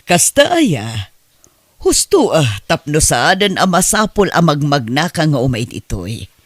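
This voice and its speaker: A woman's voice: deep voice, sing-song, unhurried, no echo, clean studio sound, heavily acted. A woman playing a kindly, sympathetic madam in an Ilocano audio drama, with an Ilocano accent.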